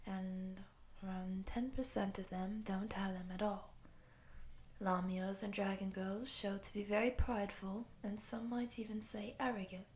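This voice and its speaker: A woman playing a polite, earnest program interviewer in a scripted roleplay, speaking softly and breathily in a measured, formal, official manner. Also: medium pitch, lightly acted. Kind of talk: soft-spoken scripted roleplay audio.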